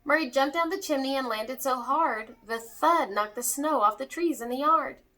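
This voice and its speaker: A woman reading a rhyming children's story aloud with expressive storytelling delivery. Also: high voice, moderate pace.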